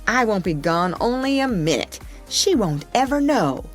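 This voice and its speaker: Storybook figure: scheming young boy, high and eager, coaxing fast, put on by a woman narrator. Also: very animated, soft background music, close to an everyday voice. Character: mischievous wheedling young boy.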